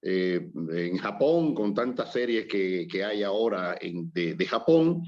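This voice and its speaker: A man's voice: lowish voice, animated, takes it slowly, darkish tone. Dominican man musing on culture. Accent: Dominican Spanish. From Dominican Republic. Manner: reflective, hesitant with fillers and restarts. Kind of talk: casual conversation on culture and language. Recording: slightly dull lo-fi audio.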